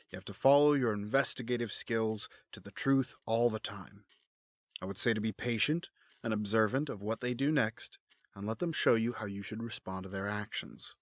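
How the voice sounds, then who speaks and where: A man playing a smooth, scheming middle-aged gentleman with a fatherly front, speaking in measured, formal phrases in an audio drama. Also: on the low side, no echo, studio quality, close to an everyday voice.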